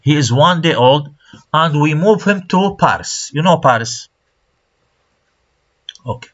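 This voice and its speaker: A man with an Iraqi Arabic accent teaches in a recorded online lecture. He speaks slowly and his pitch swings widely as he stresses words. He repeats key points and asks rhetorical questions. The sound is dull and lo-fi, like a screen recording. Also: animated. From Iraq.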